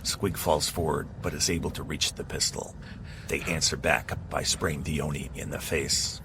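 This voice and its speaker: Very deep-voiced male narrator. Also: fairly even intonation, normal speed, noisy background. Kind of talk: second-person scripted narration of an adventure.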